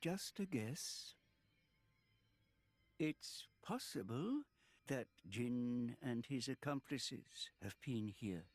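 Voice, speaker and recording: A man playing a thoughtful, seasoned companion in a video game, speaking in a deliberate way. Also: medium pitch, animated, no room sound, exaggerated voice. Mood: considered. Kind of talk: video game cutscene acting.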